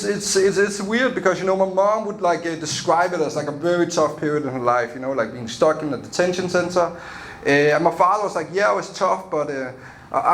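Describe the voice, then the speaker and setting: High-voiced Danish comedian on stage. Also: male voice, slight background noise. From Denmark. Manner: loose, chatty, laughing at his own jokes. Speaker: stand-up comedian. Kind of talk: stand-up comedy set.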